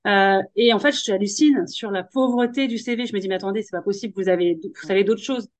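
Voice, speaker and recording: A woman hosting a podcast and coaching women, talking in a relaxed, chatty way with slang and advice. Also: mid-range voice, quick pace, no echo. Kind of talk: casual podcast conversation.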